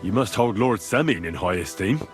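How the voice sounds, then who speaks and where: An actor playing an earnest, persistent young squire with a husky voice in a medieval video game. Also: male voice, on the low side, lively intonation, moderate pace, faint music behind, natural voice. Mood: curious. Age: young adult. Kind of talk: video game dialogue acting.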